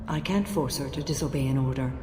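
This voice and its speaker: A solemn warrior woman in a sci-fi game. Her low, dark voice is formal and measured, set in a reverberant space with faint music. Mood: calm, grave. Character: calm, formal, solemn female warrior bound by a code. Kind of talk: video game voice acting. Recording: echoey hall with faint music.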